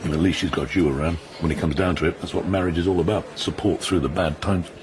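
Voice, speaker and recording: Man acting worldly middle-aged chap, deep, mock-lofty, self-justifying, radio drama, slight background noise. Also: steady tone, fast talker, close to an everyday voice. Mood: confiding. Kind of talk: naturalistic radio drama dialogue.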